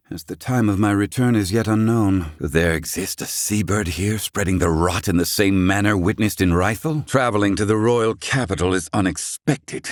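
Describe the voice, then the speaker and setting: A low, dry-recorded male voice performed by an actor for a brilliant, proud inventor in a fantasy video game. He is middle-aged and gruff. His diction is formal and clipped, with a haughty theatrical weight. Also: no room sound, close to an everyday voice. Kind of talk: dramatic video game voice acting.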